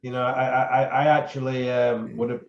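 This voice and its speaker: A man, a bodybuilding show promoter, chatting casually on a podcast in a loud voice and repeating his phrases. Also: medium pitch, level tone, brisk. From England. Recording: roomy, dull sound.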